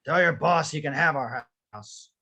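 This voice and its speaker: A man plays a stubborn, grumpy old widower in a script read. The voice is husky and rough with a bright, hard edge, and the delivery is curt and grumbling. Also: on the high side, normal speed, heavily acted. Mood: defiant, shouting. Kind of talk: online script table read.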